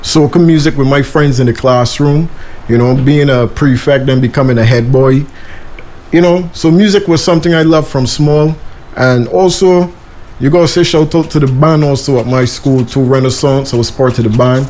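A young man from Guyana with a Bajan accent, a soca artist giving a casual, laid-back radio interview full of shout-outs. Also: medium pitch, normal speed.

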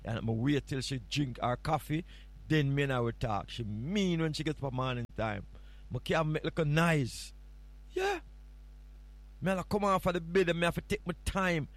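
A man with a Jamaican accent sits in as host of a morning radio show, talking in a relaxed, playful way. He rambles and teases, drifting from story to story, and his voice sits slightly higher than usual. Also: normal speed, no echo. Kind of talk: casual morning show chat.

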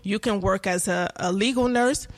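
A woman in her forties tells her story in an earnest, unhurried way. Her pitch sits in a middle range. She often breaks into laughter as she talks. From United States. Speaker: co-host, nursing instructor. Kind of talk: casual chat.

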